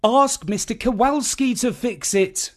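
A man's voice: very high voice, unhurried, voice pushed up. A man voicing an exasperated, protesting dad with dry humour in a storybook reading.

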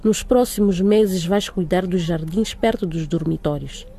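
A female school director in a radio drama, with a mellow, unforced voice. She is stern and formal, laying down rules in a firm, measured way. Her authority is plain, yet she stays fair. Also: on the low side, husky.

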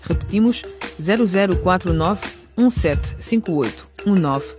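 A woman's voice: lowish voice, lively intonation, unhurried. A woman announcer reading a scripted outro for a radio series. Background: hip hop music.

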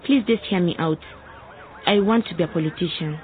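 An actress playing an earnest, determined teenage daughter in a radio drama. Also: female voice, lowish voice, fairly quick, narrow, tinny audio, close to an everyday voice. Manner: earnest, speech-like persuasion. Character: earnest, determined nineteen-year-old daughter with political ambitions.

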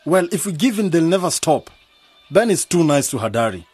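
Husky, hot-headed young man. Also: a little high, big pitch swings, fairly quick, a little mellow, no echo, soft background music. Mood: indignant. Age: young adult. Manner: blunt, insistent. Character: hot-headed, suspicious young male graduate activist. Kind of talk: radio drama acting.

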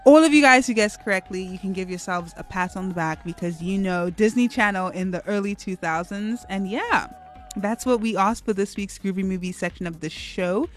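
A woman presenting a morning radio show from the studio, at a middle pitch for a woman. She talks in an upbeat, chatty way as she moves between segments. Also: normal speed, faint music behind. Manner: upbeat, chatty link patter. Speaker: radio host.

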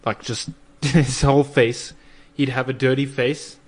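A man's voice: mid-range voice, little pitch movement, measured pace, a little mellow. A man with a South African accent chatting casually as a talk show co-host, often searching for his words. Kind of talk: casual chat.

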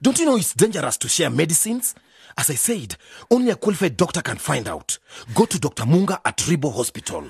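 Casting: frazzled young dad in a radio play, gravelly and husky, lively up and down. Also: male voice, on the high side, fairly quick, no room sound, studio quality, full character voice. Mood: firm. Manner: coaxing, exasperated sighs. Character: harried young father, patient but frazzled. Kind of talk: radio drama acting.

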